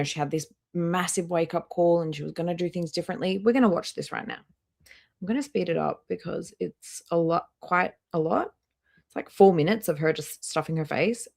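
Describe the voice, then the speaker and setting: A woman's voice: lowish voice, normal speed, vocal fry. A woman hosting a casual reaction livestream, chatting directly to her viewers as she comments. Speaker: livestream host reacting to videos.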